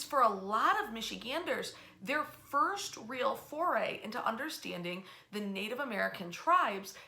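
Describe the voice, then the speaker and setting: A woman with a fairly high, bright and forceful voice tells history as an informal story. She is conversational and enthusiastic, stressing words for emphasis. The room around her adds a noticeable echo. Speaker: history storyteller and tour club host. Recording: roomy, echoey room sound.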